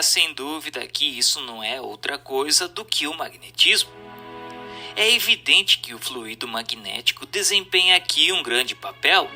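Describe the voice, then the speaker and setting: A man's voice: on the high side, pushed and bright. A man reading a scripted narration in a formal, didactic way with careful enunciation. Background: music.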